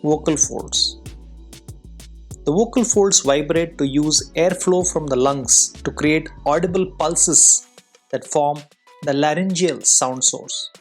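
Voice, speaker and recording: An instructor giving an explanatory lesson in a measured, formal, clearly enunciated way. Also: mid-range voice, soft background music. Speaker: soft skills instructor and public speaker.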